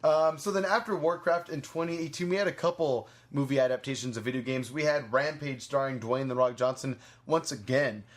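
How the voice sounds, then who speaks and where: A man's voice: mid-range voice, fairly even intonation, fairly quick, hardly any pauses. A man hosting a podcast, chatting casually about entertainment in a rambling, hedging way. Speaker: podcast host. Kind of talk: casual movie review chat.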